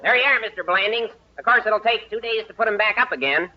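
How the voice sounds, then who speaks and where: A man's voice: sits high, expressive, fast, a loud crowd. An actor playing an easygoing, folksy building foreman with colloquial, offhand talk in a 1950s radio comedy. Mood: unconcerned. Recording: very noisy, dull old radio recording.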